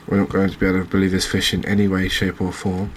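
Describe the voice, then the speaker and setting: Casting: male game streamer, deep and flat-toned, understated ASMR commentary, slight background noise. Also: fast. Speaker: gaming channel host.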